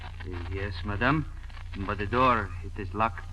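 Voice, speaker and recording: Deferential, bright-voiced butler. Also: male voice, fairly low pitch, measured pace, a little hiss or hum, low-quality recording. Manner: formal, obsequious. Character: deferential, formal male household butler. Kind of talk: 1940s radio drama acting.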